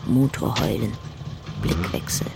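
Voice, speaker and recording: A man's voice: on the low side, sing-song, measured pace, vocal fry, noisy background. A man giving scripted narration of stage directions in a radio play, in terse, clipped statements.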